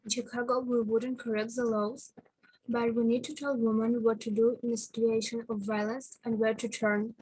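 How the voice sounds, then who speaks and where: A young woman reading a prepared presentation in halting phrases with some broken wording over a video call. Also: on the high side, level tone, a little mellow, husky, poor audio. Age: young adult. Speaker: youth ambassador presenter.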